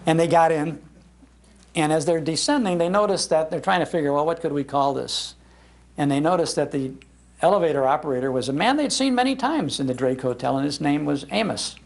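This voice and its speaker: An American man gives an anecdotal public talk in the manner of an animated raconteur. His voice is a little high, with a noticeable gravelly creak. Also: fairly quick. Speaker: speaker, radio historian.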